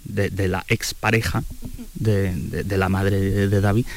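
Man, low voice, novelist guest, not a native speaker, reflective, halting. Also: level tone. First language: not Spanish. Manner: reflective, halting with repeated words. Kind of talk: radio interview talk.

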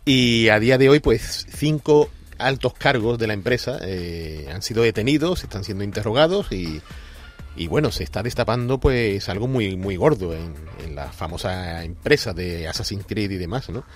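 Low-voiced man for casual gaming-news chat, lots of fillers, light music bed. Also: animated, normal speed. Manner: chatty, hesitant, many asides and fillers. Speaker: radio co-host.

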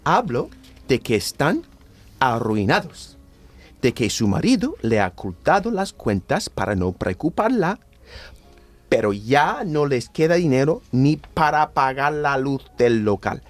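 A man's voice: mid-range voice, sing-song, no room sound, soft background music. An actor playing a bitter, greedy old businessman, a mocking and menacing villain in a radio play. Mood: cold, menacing. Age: older. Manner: mocking, menacing, slow emphatic delivery.

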